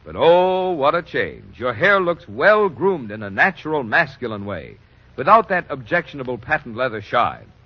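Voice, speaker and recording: Male vintage radio announcer, animated and slightly husky, polished salesman delivery, dull old recording. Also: mid-range voice, moderate pace. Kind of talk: 1940s radio commercial announcing.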